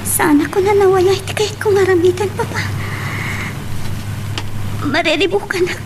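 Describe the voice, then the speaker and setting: A woman's voice: very high-pitched, normal speed, background noise. A woman playing an anxious, love-struck schoolgirl in a radio drama, fretful and pleading, with an Ilocano accent. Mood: upset.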